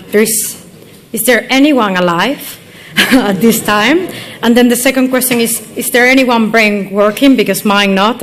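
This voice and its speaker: A woman's voice: medium pitch, a little hiss or hum. A young woman from Galicia with a Spanish accent, giving a chatty, self-deprecating conference talk in English with many fillers. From Galicia, northwest Spain. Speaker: conference speaker. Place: conference hall.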